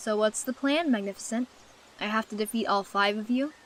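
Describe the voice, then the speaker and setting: A woman's voice: mid-range voice, fairly quick, a bit bright, long reverb tail, a little hiss or hum, close to an everyday voice. A woman voicing a young female dragon in a fan dub, determined and sardonic, wanting to be queen. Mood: determined, wary.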